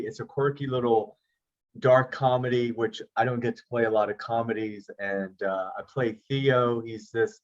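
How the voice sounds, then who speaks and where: A middle-aged man, an actor and producer, chatting easily as an interview guest over a video call, with self-deprecating jokes. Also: mid-range voice. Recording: slightly dull lo-fi audio.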